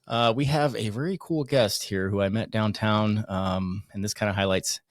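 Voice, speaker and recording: A man's voice: on the low side, steady tone, normal speed, no room sound, clean studio sound. A man hosting a podcast, laid-back and friendly, calling his guest "man" and "brother.". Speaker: podcast host. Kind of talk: casual podcast interview.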